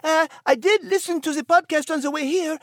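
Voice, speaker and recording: A man voicing a dainty, excitable female cat with a French accent in an audio storybook. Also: very high voice, fairly quick, no room sound, studio quality, voice pushed up. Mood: delighted. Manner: gushing, dainty.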